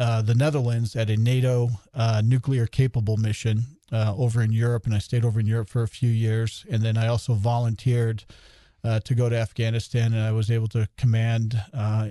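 A man's voice: on the low side, dark tone, no echo. A man in his fifties from New Hampshire, a county commissioner and retired officer, telling his background as a guest on a radio talk show with many fillers. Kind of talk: radio interview, telling his life story.

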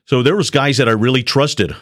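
A middle-aged man who is a retired police officer, giving an earnest personal testimony on a radio show in a measured, halting way. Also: mid-range voice, no echo, studio quality. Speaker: shepherd school student, retired police officer.

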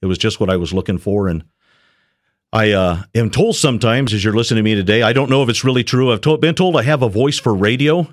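Middle-aged guy, retired cop, slow earnest testimony, lowish voice, super dry mic. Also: dry recording. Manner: earnest, measured, halting with restarts. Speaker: shepherd school student, retired police officer. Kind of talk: personal testimony on a radio show.

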